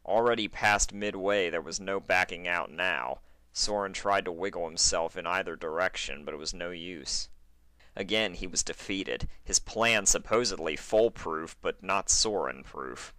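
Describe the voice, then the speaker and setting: A man's voice: sits low, unhurried, bright, forceful tone, no room sound. A man narrating a fan fiction story in a dramatic, scripted reading style.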